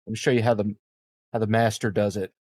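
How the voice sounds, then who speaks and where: A man's voice: fairly low pitch, level tone, quick pace, background noise. A man chatting casually as a player in a tabletop game. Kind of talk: casual chat during a game.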